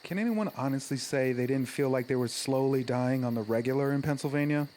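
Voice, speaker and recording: A man playing a brash but loyal small-town teenage football jock in an audio drama, blunt, slangy and halting. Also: mid-range voice, darkish tone, a little hiss or hum, close to an everyday voice. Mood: self-deprecating. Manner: blunt, slangy, halting over big words. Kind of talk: naturalistic audio drama acting. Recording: faint outdoor night ambience with crickets.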